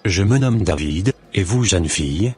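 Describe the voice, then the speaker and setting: A man playing a sincere, devout young suitor in an animated religious story, speaking in earnest, formal phrases. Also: low voice, measured pace, breathy edge, full character voice. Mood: friendly, earnest.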